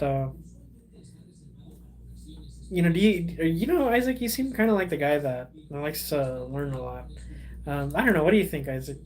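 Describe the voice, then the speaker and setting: A young man, a Spanish speaker, hosting a casual livestream and chatting with viewers in a rambling, halting way full of fillers and restarts. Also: a little high, darkish tone, a little hiss or hum. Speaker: livestream host.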